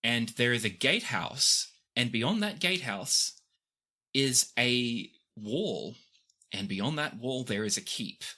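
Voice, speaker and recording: Bright, animated game master. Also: mid-range voice, slow, husky. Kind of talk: improvised tabletop game narration.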